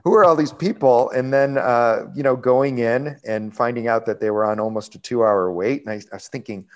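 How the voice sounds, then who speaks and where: A man in his forties, a restaurant executive, telling his career story in a relaxed, rambling way with lots of fillers. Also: mid-range voice, normal speed. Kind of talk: conversational interview storytelling.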